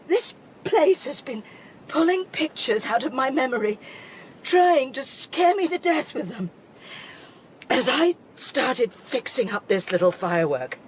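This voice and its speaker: An actress playing a dying young woman in a radio drama, weak and halting but wryly defiant. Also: high-pitched, slight background noise. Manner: wry, halting. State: weak, struggling to keep going.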